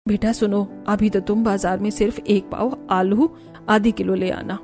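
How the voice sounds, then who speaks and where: A woman voicing a middle-aged Indian mother-in-law, measured and set in her ways, in a cartoon story. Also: mid-range voice, flat delivery, warm tone, faint music behind, pitched below the performer's own voice, close to an everyday voice. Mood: absent-minded. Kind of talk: Hindi cartoon story dialogue.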